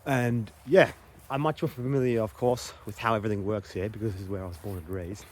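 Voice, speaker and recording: A man's voice: on the low side, steady tone, a little fast, slight background noise. A young Australian man from Queensland talking casually to camera, with frequent ums and uhs. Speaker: vlogger.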